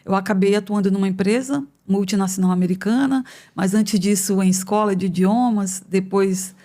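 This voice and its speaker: A woman in her fifties from northern Brazil, an economics professor answering interview questions in a thoughtful, measured way with frequent pauses and hesitations. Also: medium pitch, warm tone. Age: 50s. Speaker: economics professor, podcast guest. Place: podcast studio.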